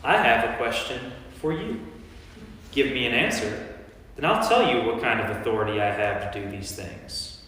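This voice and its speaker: A man playing a commanding teacher and healer in a staged Bible reading, speaking with deliberate authority. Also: mid-range voice, pushed and bright, a little hiss or hum, lightly acted. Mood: stern. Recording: very echoey church hall.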